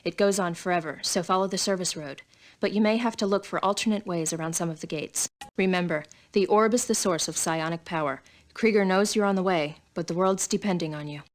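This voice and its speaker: A woman's voice: on the low side, brisk, close to an everyday voice. A woman playing a composed, authoritative military commander in a video game, giving businesslike briefings. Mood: urgent, steady. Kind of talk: video game cutscene acting.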